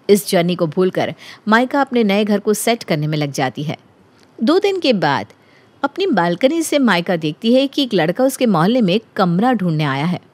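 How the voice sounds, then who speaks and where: A woman narrating a scripted story as a storyteller. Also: on the low side.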